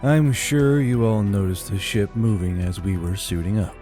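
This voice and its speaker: A man acts out a seasoned space-infantry sergeant in an audio drama. He briefs calmly and matter-of-factly in a low, mellow voice, with music behind him. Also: moderate pace, natural voice. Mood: calm, businesslike. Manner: steady, matter-of-fact briefing.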